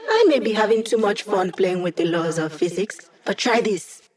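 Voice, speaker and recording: A woman's voice: medium pitch, moderate pace, a crowd in the background, close to an everyday voice. An actress playing a wry young female hacker who supports the team with dry, sarcastic quips in game voice lines. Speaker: video game character.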